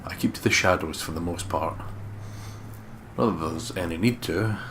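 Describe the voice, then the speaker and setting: A man reading the part of a guarded, determined investigator narrating a horror visual novel in a measured, serious way. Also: low voice, fairly bright tone. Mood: brooding, serious. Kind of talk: dramatic scripted narration, visual novel read-aloud. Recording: clearly noisy home recording.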